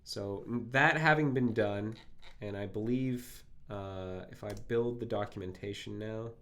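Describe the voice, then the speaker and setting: A man with a phlegmy throat from a cold hosts a live coding stream, thinking aloud in halting, self-correcting speech full of fillers. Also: on the low side, fairly bright tone.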